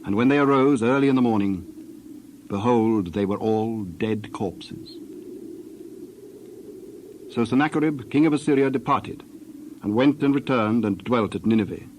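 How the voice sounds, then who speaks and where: A man's voice: medium pitch, normal speed, pauses often, a little mellow. Solemn male scripture narrator, noisy recording.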